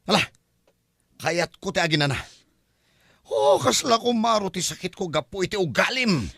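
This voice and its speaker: A man's voice: high voice, lively intonation, moderate pace, creaky, a bit bright, no room sound, studio quality, heavily acted. A man acting an exasperated boyfriend worn down by a clingy partner in an Ilocano comedy drama, with an Ilocano Filipino accent and a complaining, whining delivery. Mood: angry, fed up. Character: exasperated, hard-pressed boyfriend of a clingy woman.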